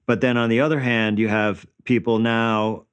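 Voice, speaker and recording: A man's voice: mid-range voice, flat delivery, moderate pace, clear, resonant tone. A man in his fifties answering interview questions conversationally, explaining things and often checking for agreement. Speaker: interview guest, natural healing practitioner.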